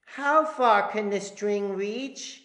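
A man's voice: sits high, very slow, pushed and bright, reverberant, pitched above the performer's own voice, heavily acted. A man voicing anxious, curious young children in a storybook read-aloud.

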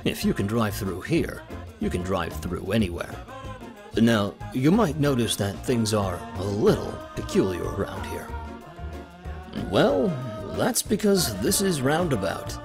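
Game narrator: folksy, wry and theatrical, very low voice with lively delivery. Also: male voice, measured pace, music in the background. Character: folksy, wry male storyteller of a comic game. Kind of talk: playful scripted storybook-like narration.

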